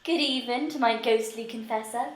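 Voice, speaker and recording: A woman's voice: fairly high pitch, normal speed, natural voice. A woman playing an eager, impatient young girl in love, speaking verse with dramatic pleading in a Shakespeare audio drama. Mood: happy, demure. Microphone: phone, recorded at home. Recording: noisy home phone recording.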